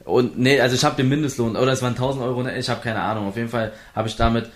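A man's voice: medium pitch, fairly even intonation, rapid-fire. A young man from Berlin, an esports player, tells his story in a casual interview, rambling with many restarts and acting out what others said.

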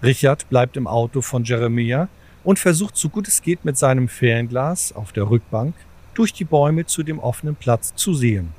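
A man's voice: mid-range voice, expressive, moderate pace, a little mellow. Male storyteller, middle register, scripted drama narration, composed delivery in full formal sentences.

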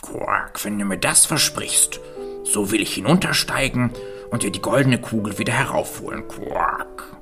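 A woman voicing a sly male frog in a fairy tale reading, speaking in a deep croaky whisper and croaking between phrases. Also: expressive, normal speed, over music. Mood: bargaining. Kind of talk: storybook reading.

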